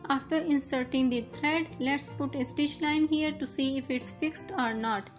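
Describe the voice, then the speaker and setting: A woman's voice: high-pitched, fairly even intonation, measured pace, faint music behind. A young woman whose first language is Urdu, patiently talking through a sewing machine fix step by step as host of her tutorial channel. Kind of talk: instructional voice-over.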